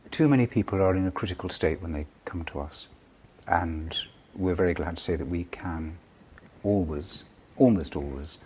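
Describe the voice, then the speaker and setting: A man speaking for a charity in an interview, explaining things in an earnest way. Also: sits low. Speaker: charity representative being interviewed. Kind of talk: interview answers. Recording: clear background noise.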